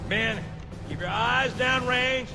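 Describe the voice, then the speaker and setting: A man playing a gruff, blustering Marine sergeant in a video game, barking swaggering orders at his troops. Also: very high voice, heavily acted. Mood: fierce rallying. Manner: barking, swaggering, punchy drawn-out delivery. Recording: loud music over the voice.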